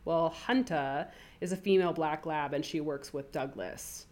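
A woman co-hosting a casual podcast, chatting and reading news items aloud with little asides, her voice stuffy and deeper than usual from a cold. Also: moderate pace, a little mellow. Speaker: podcast co-host.

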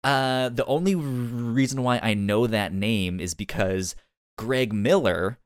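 A man's voice: mid-range voice, unhurried, smooth, no echo, clean studio sound. A man co-hosting a casual review podcast, often stammering and repeating words as he goes. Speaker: podcast co-host.

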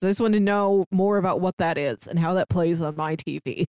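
A woman's voice: lowish voice, a little fast, mellow, smooth, no echo. Woman chatting casually on a dry-sounding podcast. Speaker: podcast co-host.

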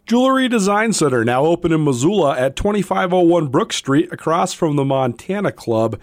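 A man with a fairly high voice delivers a scripted radio commercial. The sound is dry and close, with no room around it. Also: big pitch swings, moderate pace, no echo. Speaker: commercial announcer.

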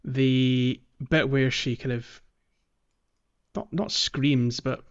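A man's voice: medium pitch, flat delivery, very slow, clear, resonant tone. Slightly muffled man, casual podcast host. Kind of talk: casual game discussion. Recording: slightly dull, muffled audio.

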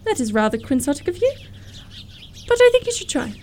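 A woman's voice: sits high, sing-song, fairly quick, a little mellow, slight background noise. A woman playing a prim, candid young girl of good family, earnest and politely teasing, in a theatrical radio comedy. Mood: demure teasing.

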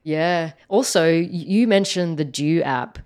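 Husky, hoarse-voiced woman chatting. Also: measured pace, a little mellow. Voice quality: low, croaky. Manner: chatty, upbeat, explains things plainly. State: husky, slightly hoarse from shouting. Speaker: podcast co-host, startup founder. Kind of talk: casual chat.

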